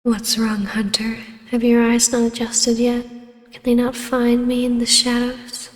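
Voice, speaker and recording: An imperious vampire baroness, played by a woman in an ASMR fantasy roleplay. Her voice sits fairly high and keeps a very even, controlled line, taunting in a measured hush that slips into whispers. The sound is completely dry, with no room around it. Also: dry recording, close to an everyday voice. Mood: taunting, predatory. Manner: slow, hushed, breathy delivery slipping into whispers. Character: vampire baroness, ancient huntress turned ruler, taunting, imperious, regal. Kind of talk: scripted ASMR audio roleplay.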